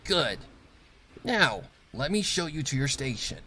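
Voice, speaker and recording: A man's voice: medium pitch, sing-song, a little slow, pushed and bright, a little hiss or hum, voice pushed up. A man voicing a cold, domineering female pegasus factory boss in a fan story reading, giving commanding, menacing orders. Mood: cold, curt.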